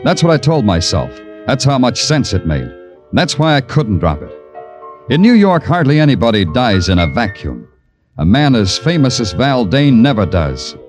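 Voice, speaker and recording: An actor playing a wry, world-weary American police detective in an old radio crime drama, deadpan and sardonic. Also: male voice, mid-range voice, moderate pace, faint music behind, natural voice. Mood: brooding narration. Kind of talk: 1940s-50s radio crime drama acting and narration.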